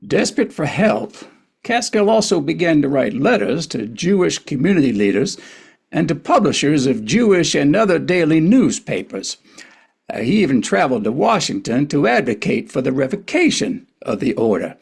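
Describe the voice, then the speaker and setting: A man's voice: a little high, big pitch swings, moderate pace, gravelly, a little mellow, husky. A man narrating a historical play from a script at a table reading.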